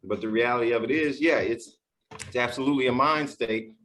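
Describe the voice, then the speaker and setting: A man's voice: mid-range voice, brisk, a bit bright, a little hiss or hum, poor audio. A man with a blunt, joking and profane way of talking in a casual group discussion. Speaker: podcast council member.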